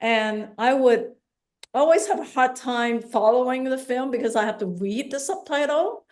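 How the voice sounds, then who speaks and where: A woman's voice: high voice, animated, normal speed, darkish tone. A woman in her fifties from Hong Kong answering questions in a conversational artist talk, thinking aloud and correcting herself as she goes. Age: 50s. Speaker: visual artist giving an artist talk.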